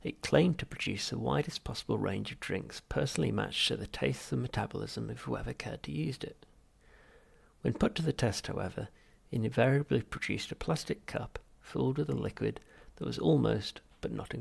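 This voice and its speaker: Very gravelly male audiobook narrator. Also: medium pitch, moderate pace. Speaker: audiobook reader. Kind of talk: scripted narration of a comic novel.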